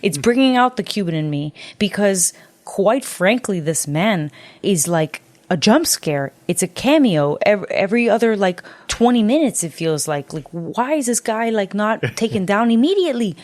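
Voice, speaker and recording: A woman's voice: medium pitch, very animated, moderate pace, darkish tone, no room sound. A woman co-hosting a casual, profane chat, ranting emphatically in exasperated slang. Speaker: podcast co-host reviewing a horror film. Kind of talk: casual, profane film discussion.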